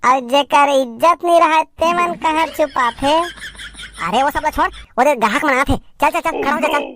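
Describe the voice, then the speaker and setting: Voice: high-pitched, normal speed, fairly bright tone. A performer voicing a naive, bumbling young village mango seller in a cartoon comedy, a woman by the sound of it, with a Chhattisgarhi accent. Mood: chatty. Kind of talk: cartoon comedy skit dialogue.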